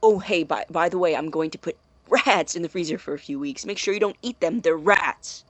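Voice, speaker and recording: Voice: medium pitch, brisk, no room sound, lightly acted. An actor playing an anxious, secretive man in an audio drama, stammering and defensive as he guards his experiments. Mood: indignant, stammering. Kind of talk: naturalistic audio drama acting.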